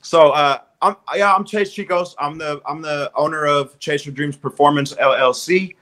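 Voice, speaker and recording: A man's voice: fairly high pitch, steady tone, normal speed, fairly bright tone. A man in his thirties from Southern California talks as a guest on a video call. He sounds upbeat and friendly. He often repeats words while he gathers his thoughts. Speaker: guest, strength and conditioning coach. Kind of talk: casual video call interview.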